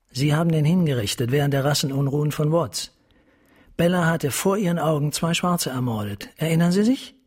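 An actor playing a middle-aged, grieving and vengeful police captain in a radio drama, formal, bitter and menacing. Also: male voice, on the high side, darkish tone, breathy edge.

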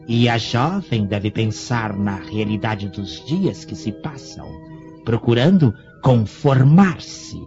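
Creaky, slow old Egyptian sorcerer. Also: male voice, medium pitch, a little mellow. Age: older. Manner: solemn, portentous, drawn-out delivery. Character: cunning old Egyptian sorcerer and potion seller, eerie, theatrical. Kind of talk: radio drama acting. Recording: dull lo-fi radio drama, music behind.

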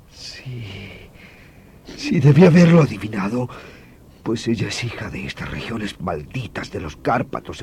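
An actor playing an anguished doctor confessing a killing, halting and theatrical, swinging from murmurs to outcries in old radio horror style. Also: male voice, mid-range voice, a little mellow, close to an everyday voice. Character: anguished doctor, brother who confesses killing his vampire wife. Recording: noisy old radio drama recording.

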